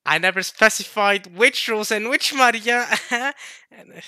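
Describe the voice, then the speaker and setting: A man in his late teens chatting casually to viewers as a video host, jokey and animated, repeating words in bursts as he rambles. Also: high voice, measured pace, smooth, no room sound, studio quality. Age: late teens, turning twenty. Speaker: host of a video series reading a visual novel.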